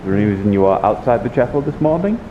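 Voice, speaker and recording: A man playing a calm, counseling abbey clergyman in a fantasy roleplay, measured and formal, over online voice chat. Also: medium pitch, close to an everyday voice. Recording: dull, noisy online voice chat.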